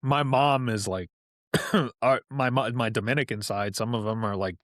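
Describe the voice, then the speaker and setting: A man's voice: medium pitch, fairly even intonation, moderate pace, a bit bright, no room sound, clean studio sound. A man guesting on a podcast, telling stories in a loose, chatty way with lots of "like" and casual swearing. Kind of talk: casual storytelling chat.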